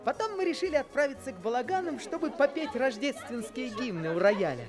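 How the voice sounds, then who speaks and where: A man's voice: very high voice, animated, fairly quick, loud music behind the voice. A wistful young man. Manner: reflective, wistful storytelling. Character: young man recalling his youth. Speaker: narrator. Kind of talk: 1970s Soviet radio play narration.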